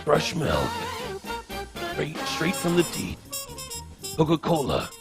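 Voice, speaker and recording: A man playing a solemn, overblown commercial announcer with a slow, dramatic delivery. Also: a little high, very animated, over music, pitched below the performer's own voice. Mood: solemn, salesy.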